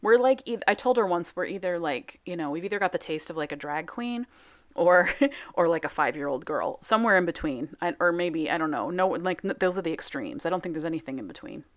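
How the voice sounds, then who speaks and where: A woman's voice: lowish voice, animated, a little fast. A woman giving chatty, self-mocking voice-over commentary on her soap making video, full of fillers.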